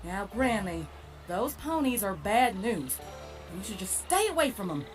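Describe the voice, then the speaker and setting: A woman's voice: medium pitch, sing-song, measured pace. A woman voicing a concerned young country pony mare in a cartoon comic dub, folksy and admonishing. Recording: music underneath.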